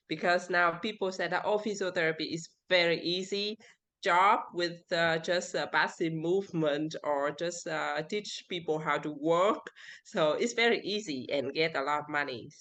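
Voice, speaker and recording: Vietnamese-accented woman, halting English. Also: sits low, a bit bright. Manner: halting, searching for English words. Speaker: interview guest. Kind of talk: casual interview.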